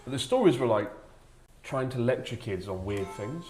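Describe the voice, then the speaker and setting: A man telling a casual comic anecdote to friends in an animated, sardonic way, acting out quotes. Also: medium pitch, moderate pace. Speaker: storyteller, former English teacher. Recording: roomy echo with clear background noise.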